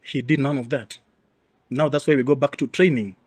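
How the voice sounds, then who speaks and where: A man's voice: medium pitch, steady tone, moderate pace, darkish tone, slightly rough, no echo. A man with a Kenyan accent speaking as an expert interview guest, explaining things in an emphatic, assertive way.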